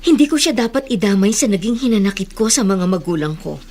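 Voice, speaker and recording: A woman's voice: medium pitch, fast, darkish tone, lightly acted. An actress playing a kindly, earnest wealthy woman employer with a hidden past in a Tagalog radio drama. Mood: troubled, earnest. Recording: noisy radio drama recording.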